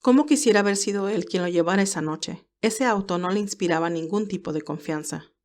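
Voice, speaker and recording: A woman's voice: mid-range voice, fairly quick, darkish tone. A woman reading scripted narration for a romantic audio story as its narrator.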